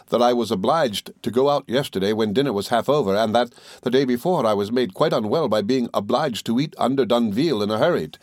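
A man voicing an earnest, loving young husband in an audiobook, reasoning gently and formally. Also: medium pitch, no echo, clean studio sound, natural voice. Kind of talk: audiobook character dialogue.

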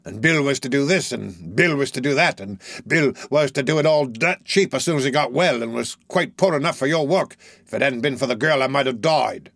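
A man voicing a gruff, brutal adult male burglar in a storybook reading, growling and surly. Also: mid-range voice, expressive, brisk, a bit bright, no room sound, studio quality.

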